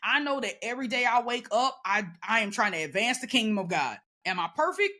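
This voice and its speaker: Woman, loud and emphatic, sassy, a bit higher voice, casual chat. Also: big pitch swings, fairly quick. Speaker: podcast guest. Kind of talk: casual conversation.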